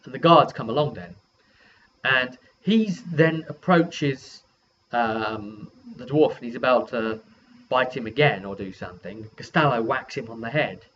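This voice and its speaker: A man's voice: medium pitch, measured pace, a bit bright. A man casually recounting a tabletop role-playing session as game master, in a rambling way. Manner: rambling, acts out quoted dialogue informally. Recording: dull lo-fi audio with background noise.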